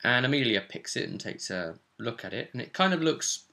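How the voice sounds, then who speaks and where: Bright-voiced man with a gravelly edge. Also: medium pitch, very animated, a little fast. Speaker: host and game master of a tabletop role-play show. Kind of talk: casual recap of a tabletop role-play session.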